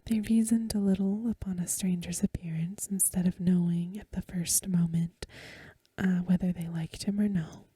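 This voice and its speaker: A woman softly whispering a story for ASMR in a breathy voice, with gentle, careful phrasing, close to the microphone. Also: fairly low pitch, steady tone, moderate pace, dry recording. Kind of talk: soft-spoken ASMR story reading.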